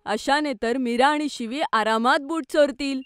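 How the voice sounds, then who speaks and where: A woman's voice: high voice, moderate pace, lightly acted. A woman voicing a curious, polite young boy in a children's cartoon. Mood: curious, worried. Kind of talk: cartoon voice acting. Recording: music under the voice.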